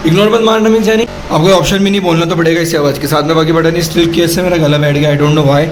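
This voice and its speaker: A hoarse man chatting casually to viewers in a family vlog at home, lively and teasing with his kids. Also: fairly high pitch, fast talker, soft background music. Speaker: family vlogger. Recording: noisy home recording.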